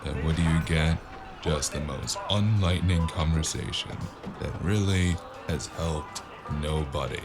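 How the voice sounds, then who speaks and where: A man acts out a sardonic noir drifter with an extremely low, creaky voice. He narrates an audio drama in a weary, mouthy way, over clearly audible music. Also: unhurried. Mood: fed up. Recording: music behind the voice.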